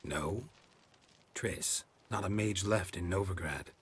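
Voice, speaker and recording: Very deep, stoic monster hunter. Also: male voice, takes it slowly, slightly rough, heavily acted. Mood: tender, restrained. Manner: terse, understated delivery. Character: gruff, stoic male monster hunter, laconic, dry. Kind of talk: video game cutscene dialogue.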